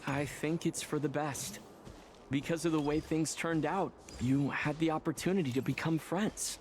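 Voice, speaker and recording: A man voicing an earnest, determined young traveler hero in a fantasy video game, with deliberate delivery. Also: a little high, steady tone, slight background noise, lightly acted. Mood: hopeful, reflective. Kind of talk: scripted game voice acting.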